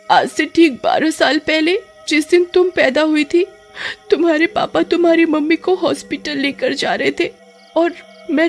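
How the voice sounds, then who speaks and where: A woman's voice: high-pitched, pitched above the performer's own voice. A woman voicing a vengeful, bitter ghost girl in a possessed doll, with theatrical menace in a horror story. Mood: tearful. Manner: theatrical, menacing, drawn-out threats. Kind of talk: dramatized horror story acting.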